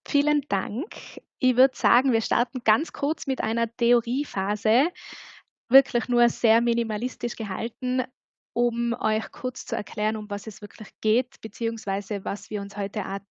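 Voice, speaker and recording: A woman with an Austrian accent, a hotel consultant explaining in a lively, upbeat webinar presentation. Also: on the high side, measured pace, no echo, lo-fi sound. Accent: Austrian German.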